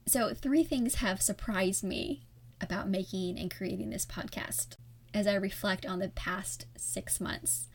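A woman's voice: medium pitch, a little slow, creaky. Soothing-voiced woman hosting a podcast. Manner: conversational and reflective, candid. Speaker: podcast host. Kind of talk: solo podcast talk.

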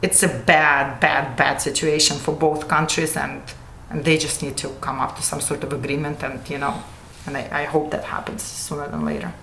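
Earnest woman with a low voice. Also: bright, forceful tone. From Russia. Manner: earnest, halting with restarts. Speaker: interview guest. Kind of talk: casual conversation. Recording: echoey room with faint noise.

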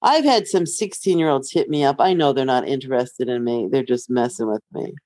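A woman's voice: rumbling low, a little fast, mellow, no room sound, clean studio sound. A middle-aged woman co-hosting a podcast, talking casually in a wry, matter-of-fact way. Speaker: podcast co-host. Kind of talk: casual conversational chat.

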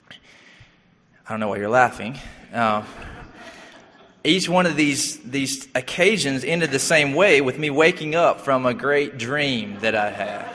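Young pastor, man in his thirties, halting sermon delivery, bright tone, long hall reverb. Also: medium pitch. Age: 30s. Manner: halting, with many fillers and restarts.

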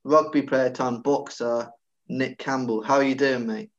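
A man's voice: mid-range voice, fairly even intonation, measured pace, highs cut off. A man hosting a sports interview, asking hesitant questions and answering with short affirmations. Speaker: sports podcast host. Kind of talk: interviewer, casual chat.

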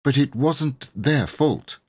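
A man's voice: medium pitch, animated, takes it slowly, breathy edge, pitched above the performer's own voice. A man voicing an earnest, loyal little girl who implores simply and urgently in a children's story. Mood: insistent.

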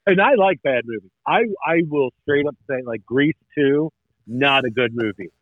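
A man's voice: mid-range voice, normal speed, no echo. Man in his thirties on a tinny phone line. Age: 30s. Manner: nostalgic, rambling with many restarts. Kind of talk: casual chat.

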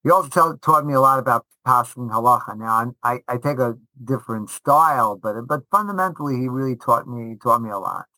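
A man's voice: mid-range voice, fairly quick, few pauses, sharp tone, dry recording, poor audio. A man giving casual interview answers as a rabbi and teacher, speaking emphatically and often repeating short phrases for stress. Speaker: rabbi and high school teacher.

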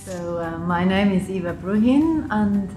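A woman's voice: mid-range voice, expressive, unhurried, mellow. A woman with an English accent, from Switzerland and Liechtenstein, giving unscripted interview answers as a guest in a thoughtful, hesitant way. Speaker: interview guest, public health official. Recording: roomy echo, music behind. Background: music.

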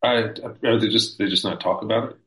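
A man's voice: fairly low pitch, fairly even intonation, fairly quick, fairly bright tone, roomy sound, poor audio. A young man in casual podcast conversation, thinking aloud with hesitant restarts.

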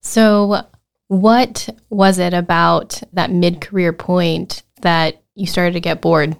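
A woman's voice: lowish voice, steady tone, takes it slowly, creaky, clean tone. A woman hosting a career podcast, reading an upbeat scripted intro and then asking casual interview questions. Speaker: podcast host.

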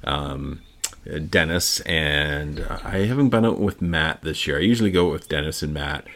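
A middle-aged Canadian man hosting a casual solo podcast, rambling and halting with many ums and uhs. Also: very deep voice, expressive, a bit bright. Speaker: podcast host.

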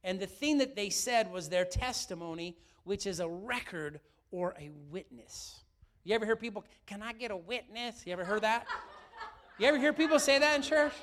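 Brief: middle-aged male pastor, high voice, slightly raspy, lively sermon that keeps asking questions. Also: normal speed. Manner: lively, asks the room questions often.

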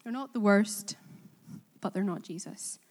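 A woman's voice: medium pitch, unhurried, a little mellow. Woman preaching in a reverberant hall. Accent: Korean. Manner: earnest, self-deprecating, asks the congregation questions. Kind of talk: sermon. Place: church in Belfast.

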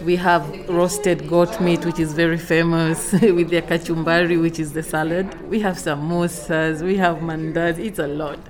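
A woman's voice: low voice, little pitch movement, moderate pace, few pauses. A woman from Kenya, a culinary student, chatting eagerly and laughing now and then. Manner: warm, eager chat with laughs.

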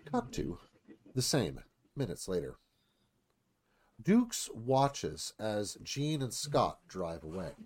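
A man's voice: fairly low pitch, huge pitch swings, slow, pauses often, darkish tone, breathy edge. A man narrating screenplay stage directions dramatically for a live radio play.

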